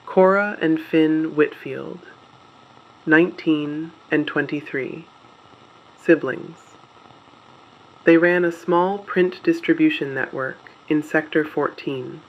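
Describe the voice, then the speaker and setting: A performer playing a solemn resistance radio broadcaster, reading names of the missing in a grave, measured, scripted delivery. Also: medium pitch, fairly even intonation, highs cut off. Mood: solemn, grieving. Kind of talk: scripted dystopian audio drama narration.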